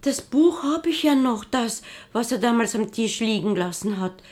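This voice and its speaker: An actress playing a kind, simple old washroom attendant, speaking in a breathy Viennese dialect in a radio play. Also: female voice, on the high side, animated, moderate pace, a little mellow. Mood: flustered. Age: older.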